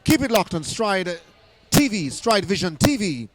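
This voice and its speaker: A hoarse man doing live netball commentary in an indoor arena, excited and often restarting his phrases. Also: high voice, a little slow.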